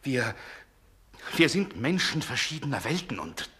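Ailing proud gentleman, irritable, scornful, hard-edged tone, husky, agitated broken phrasing, radio play. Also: mid-range voice, very animated, unhurried, heavily acted. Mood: feverish accusation. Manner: scornful, agitated, breaking off mid-sentence. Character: proud, ill, irritable Russian gentleman confronting his rival. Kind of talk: German radio drama acting.

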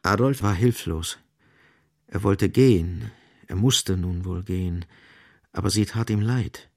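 Male narrator, lowish gravelly voice, measured, grave, literary radio drama storytelling.

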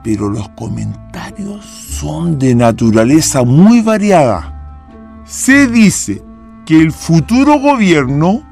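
A man playing a grave Chilean president in a radio play. He speaks slowly and formally with big swings of pitch, probing like a statesman, over faint music. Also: big pitch swings, creaky, darkish tone, heavily acted. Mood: measured, inquiring. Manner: deliberate, formal, theatrical. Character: grave, probing male president questioning his adviser. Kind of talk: historical radio drama acting.

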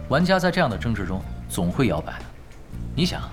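A man's voice: medium pitch, big pitch swings, fast talker, over music, close to an everyday voice. A shrewd, easygoing male gamer, played by a man in an audio drama. His delivery is teasing and matter-of-fact, and his intonation swings widely over a mid-range voice. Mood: casual, analytical. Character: laid-back, shrewd male gamer, calm schemer. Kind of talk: audio drama acting in dialogue.

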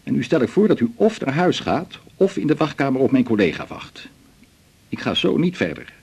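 A weary family doctor in a Dutch radio play, with a husky, creaky voice and a Netherlands accent. He speaks in measured, dryly ironic lines, hesitating now and then, while his pitch moves freely. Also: big pitch swings, a little mellow. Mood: firm, restrained. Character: weary, dry-humoured male family doctor. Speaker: radio drama actor. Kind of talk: 1980s Dutch radio drama acting.

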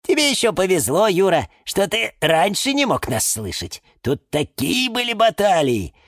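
Storybook lemon tree, played by a man: wry, resigned gossip, creaky voice, hugely swooping pitch. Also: big pitch swings, normal speed, fairly bright tone, no echo, studio quality, full character voice. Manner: sighing, wry. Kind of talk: children's story character voice.

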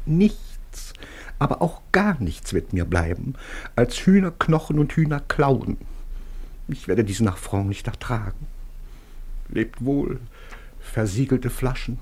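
An actor playing a gluttonous, self-pitying country priest, lamenting in grand, declamatory radio play style. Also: male voice, medium pitch, darkish tone, husky. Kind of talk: classic radio play acting.

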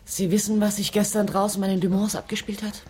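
A woman's voice: mid-range voice, level tone, quick pace, darkish tone, slightly rough, close to an everyday voice. An actress playing an inquisitive, self-assured woman journalist from Paris in a radio crime drama. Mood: cool, precise.

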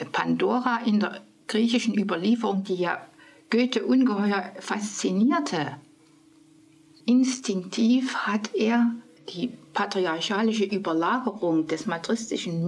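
Slow, husky-voiced woman explaining. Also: mid-range voice. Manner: hesitant, searching for words, soft asides. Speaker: literary scholar interviewee. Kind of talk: thoughtful spoken explanation.

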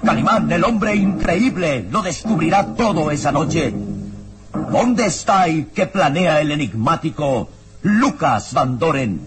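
Dramatic male radio serial narrator, very expressive. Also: on the high side, a little slow, very noisy. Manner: suspenseful, emphatic. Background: dramatic music.

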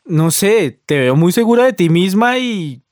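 Man playing a high-voiced, easygoing Colombian flirt. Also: very animated, a little slow. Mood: easygoing, coaxing. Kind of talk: radio soap opera acting, colloquial.

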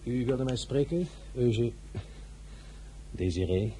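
An actor playing a proud, ambitious, brooding young general in a radio drama, with a sardonic manner. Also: male voice, mid-range voice, slow pace, dark tone, a little hiss or hum, natural voice. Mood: curt.

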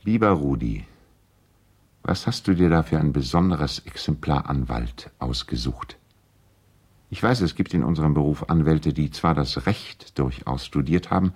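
Deep-voiced, courteous, earnest lawyer friend. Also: male voice, animated, moderate pace, slightly rough, exaggerated voice. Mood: wry, confiding. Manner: earnest, personal, pleading. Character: courteous, worried male lawyer and loyal family friend. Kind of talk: 1970s German radio play, letters read aloud.

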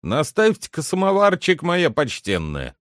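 A man's voice: mid-range voice, sing-song, a little slow, no room sound, studio quality, pitched above the performer's own voice. A man voicing an impatient, condescending head butler in a storybook, brusque and commanding as he questions the servants. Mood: calling out. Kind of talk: dramatic literary audiobook character reading.

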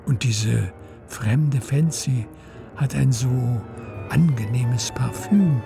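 A woman's voice: very deep voice, takes it slowly, creaky, music in the background. A woman narrating a radio play in scripted literary prose.

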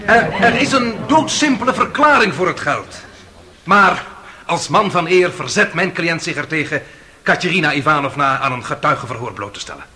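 Piercing, urgent defence lawyer. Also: male voice, fairly high pitch, expressive, normal speed, pushed and bright. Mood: urgent, pleading. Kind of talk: radio drama acting.

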